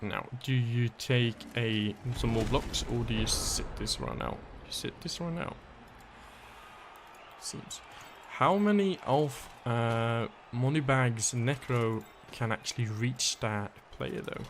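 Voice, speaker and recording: A man casting a live video game match, his voice raspy and hoarse, thinking aloud through the plays. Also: mid-range voice, slow pace, slight background noise. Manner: analytical, thinking aloud, thanks viewers. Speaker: game caster.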